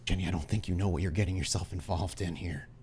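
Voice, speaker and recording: A man acting as a regretful, defensive estranged husband and father, speaking in a halting, earnest way. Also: low-pitched, steady tone, a little mellow, breathy edge, full character voice.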